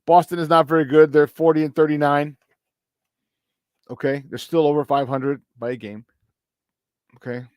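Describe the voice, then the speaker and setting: Slightly hoarse, emphatic sports radio host. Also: male voice, a little high, fairly even intonation, normal speed, pauses often, darkish tone, dry recording, studio quality. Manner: repetitive, emphatic, laughs often. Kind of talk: casual morning sports talk.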